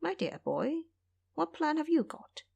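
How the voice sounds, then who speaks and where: A woman's voice: sits high, very animated, unhurried, gravelly, a little mellow, highs cut off, pitched above the performer's own voice, heavily acted. A woman voicing a very old, greedy male monk with a plaintive, self-pitying way of talking in an audio drama. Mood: delighted, eager.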